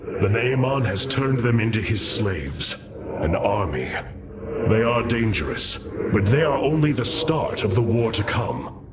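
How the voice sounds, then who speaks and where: An actor playing a noble young alien warrior leader in a game, speaking formally and with command. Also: male voice, fairly low pitch, very animated, a little slow, heavy background noise. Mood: solemn, resolute. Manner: formal, solemn, commanding diction. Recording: dramatic music behind the voice.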